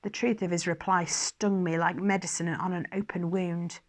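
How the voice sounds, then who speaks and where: A woman's voice: on the low side, fairly even intonation, moderate pace. Woman reading a story expressively. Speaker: story reader. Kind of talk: expressive scripted narration.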